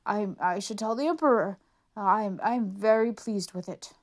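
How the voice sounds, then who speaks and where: A woman's voice: medium pitch, moderate pace, natural voice. A woman voicing an old male minister who stammers eagerly. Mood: pleased.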